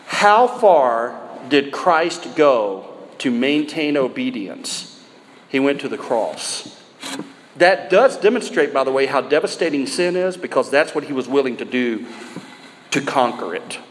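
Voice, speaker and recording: A middle-aged American man preaching a sermon in an emphatic yet conversational way, repeating phrases and posing rhetorical questions. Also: medium pitch. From United States. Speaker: pastor preaching to a church. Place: large indoor room, live sermon. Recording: roomy hall reverb, some background noise.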